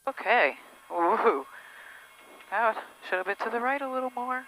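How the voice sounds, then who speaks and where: A woman's voice: on the high side, lively intonation, moderate pace, fairly bright tone. Woman pilot on a noisy cockpit headset. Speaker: pilot, vlogger. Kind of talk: cockpit self-talk and radio calls. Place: small propeller plane cockpit. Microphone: aviation headset. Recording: noisy small plane cockpit intercom audio.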